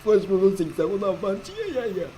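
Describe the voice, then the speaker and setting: A man voicing an excitable cartoon character, exclaiming and repeating interjections, on an amateur recording. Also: sits high, fast talker, slight background noise. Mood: excited, teasing.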